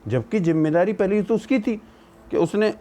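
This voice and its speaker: A man speaks in a somewhat high but very mellow, relaxed voice. He explains like a legal educator, building his argument through rhetorical questions. English technical terms are mixed into his speech. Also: moderate pace. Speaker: legal educator giving opinion.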